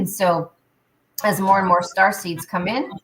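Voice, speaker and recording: A woman guest on a radio show talking about spiritual awakening, energetic with lots of ums and restarts. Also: medium pitch, slow pace, slight background noise, poor audio. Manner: energetic, many fillers and restarts. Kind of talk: interview answers, spiritual talk.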